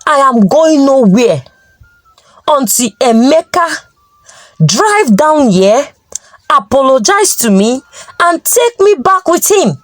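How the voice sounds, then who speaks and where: Woman doing stubborn young Nigerian wife, insistent, lively intonation, slow delivery, folktale dialogue. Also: sits high, no room sound, full character voice. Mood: defiant. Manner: defiant, insistent.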